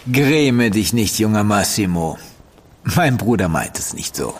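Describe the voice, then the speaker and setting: An actor playing a genial, shrewd senior merchant banker in an audio drama, measured and patronising in formal diction. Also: male voice, lowish voice, lively intonation. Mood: reassuring, self-assured. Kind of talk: German audio drama acting.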